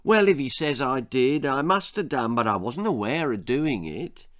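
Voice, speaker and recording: Man playing a smug, stern father, lively delivery. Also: mid-range voice, moderate pace, no echo, studio quality, lightly acted. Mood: puzzled. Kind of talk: children's storybook reading.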